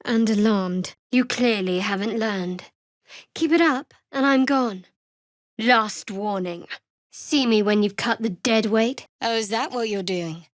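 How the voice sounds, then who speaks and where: Guarded young dark cleric girl from a fantasy game, sardonic n measured, highish voice, super dry close studio sound. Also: no echo. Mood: angry, threatening, then teasing, sardonic. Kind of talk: scripted fantasy video game dialogue.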